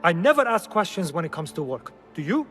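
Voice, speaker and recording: An actor playing a shady, self-justifying informant in a video game, smooth-talking and evasive. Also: male voice, high-pitched, big pitch swings, brisk, fairly bright tone, soft background music. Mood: evasive, earnest. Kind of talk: dramatic game cutscene acting.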